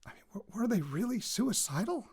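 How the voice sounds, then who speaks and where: A man's voice: high-pitched, big pitch swings, brisk, darkish tone, slightly rough, heavily acted. A man with an American accent playing a wry radio reporter in an audio drama, rambling hesitantly into his recorder and interrupting himself. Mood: musing, wry. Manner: hesitant, self-interrupting, wryly joking. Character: rattled, wry young man, radio reporter returning home.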